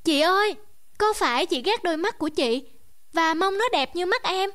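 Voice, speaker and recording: A woman's voice: extremely high pitch, lively intonation, normal speed, a bit bright. A woman voicing a small child who coaxes sweetly and slyly. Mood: coaxing.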